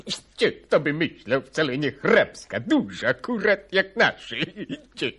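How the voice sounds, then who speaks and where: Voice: medium pitch, sing-song, measured pace, a bit bright, slightly rough, heavily acted. An actor playing a nosy, gossipy country servant with a rural Polish dialect in a grotesque radio play. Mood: amused.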